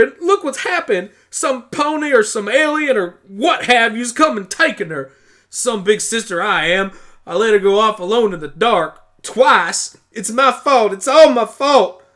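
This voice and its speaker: A man's voice: very high-pitched, lively intonation, moderate pace, fairly bright tone, pitched above the performer's own voice. A man voicing a stubborn, protective country mare pony with a folksy Southern US drawl, in an amateur audio drama. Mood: anguished, accusing. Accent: Southern US country drawl, put on. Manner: folksy, blunt, pleading.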